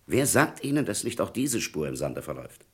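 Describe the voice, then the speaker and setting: An actor playing a demanding, sceptical police superior, gruff and authoritative, in a vintage radio crime drama. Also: male voice, sits low, big pitch swings, fairly quick, a bit bright, full character voice.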